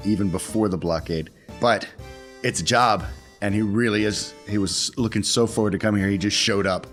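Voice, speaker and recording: A man's voice: on the low side, fairly quick, a bit bright, soft background music. A man talking casually as a tabletop role-playing player, explaining the character he plays. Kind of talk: casual game-table chat, describing his character.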